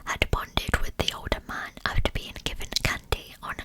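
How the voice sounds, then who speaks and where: A woman narrating a scripted true crime story as ASMR in a breathy, unvoiced whisper, with soft, measured phrasing.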